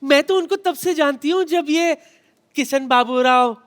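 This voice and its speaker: High-pitched, theatrical old granny. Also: unhurried, a bit bright. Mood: coy, wistful. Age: older. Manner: theatrical, coy, calling out. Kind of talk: broad stage comedy sketch. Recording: TV studio stage with audience noise.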